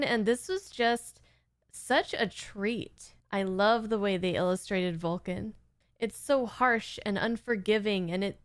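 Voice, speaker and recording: Smooth-voiced woman chatting slowly. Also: fairly high pitch, dry recording, clean studio sound. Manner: appreciative, thoughtful. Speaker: reaction video host. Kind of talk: casual, reflective chat.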